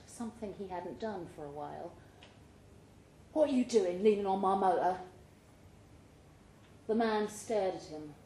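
Woman, live short story reading, mellow tone, medium pitch, echoey pub room, faint background noise. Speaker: story reader at a live literary event.

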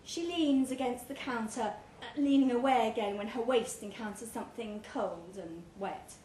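A woman narrates a short story live in a pub, in a fairly high voice. She takes her time, leaving measured dramatic pauses. The room echoes around her, with some noise behind. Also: a little mellow. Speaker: story reader. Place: pub, live reading event.

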